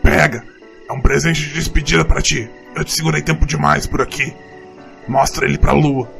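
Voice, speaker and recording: A man with a Brazilian accent voicing a rustic forest troglodyte in an audio drama, a gruff but fond mentor with a gravelly voice and plain, ungrammatical speech. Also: on the high side, steady tone, moderate pace, a bit bright, faint music behind. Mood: fond farewell. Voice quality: gravelly, creaky. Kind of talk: audio drama character acting.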